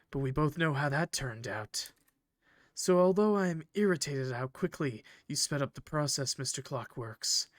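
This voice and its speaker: A man voicing a possessive, coldly polite villain from a visual novel, speaking formally and theatrically in measured, condescending phrases. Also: mid-range voice, no echo, clean studio sound, close to an everyday voice. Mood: resigned, menacing. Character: possessive, coldly polite male villain, eerie train conductor. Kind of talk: visual novel character reading.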